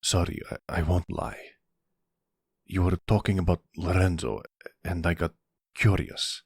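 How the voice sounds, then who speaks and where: Guy doing young mobster son, polite n jumpy, stammers a lot, husky dry asmr roleplay voice. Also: sits low, a little slow, no room sound, full character voice. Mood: nervous, defensive. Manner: hesitant, stammering, formal.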